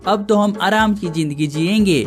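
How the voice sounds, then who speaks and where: A performer plays a greedy, idle young village man in a cartoon moral tale. He talks in exclamations, in a middling pitch, with music playing behind him. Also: normal speed, natural voice. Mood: excited. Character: greedy, lazy young village brothers seeking treasure. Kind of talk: cartoon moral story dialogue.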